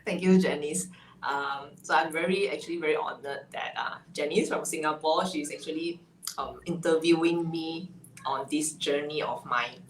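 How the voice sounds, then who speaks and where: A woman's voice: sits low, steady tone, normal speed. A woman from Malaysia with a Malaysian English accent, chatting as an interview guest and laughing often between phrases. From Malaysia (Sarawak). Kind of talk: casual interview chat. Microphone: video call. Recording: echoey room, faint ambient noise.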